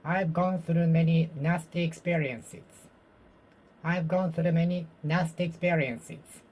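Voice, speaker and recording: A Japanese-speaking learner works through English drills alone, saying each sentence slowly and carefully, again and again. The voice sits at an ordinary pitch and is still getting over a cold. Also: fairly even intonation, darkish tone, poor audio. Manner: slow, careful repetition of the same sentences. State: recovering from a cold. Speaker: vlogger practicing English speaking. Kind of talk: self-study speaking practice, repeating phrases aloud.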